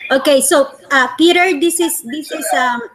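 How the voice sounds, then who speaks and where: A woman's voice: high-pitched, normal speed, a bit bright. A woman from the Philippines with a Filipino accent, explaining her view in a casual online debate and repeating phrases for emphasis. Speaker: discussion moderator. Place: online group voice call.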